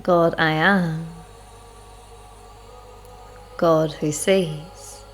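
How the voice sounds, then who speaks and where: Slow, low-voiced woman leading meditation. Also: fairly even intonation. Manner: unhurried, reflective, measured with long pauses. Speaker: guided meditation leader. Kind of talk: slow spiritual guided meditation narration. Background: music.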